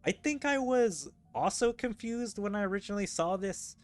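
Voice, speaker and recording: A man's voice: sits high, lively intonation, moderate pace. Man rambling through casual game commentary, music behind. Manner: rambling, hesitant explanations peppered with "like". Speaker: gaming streamer.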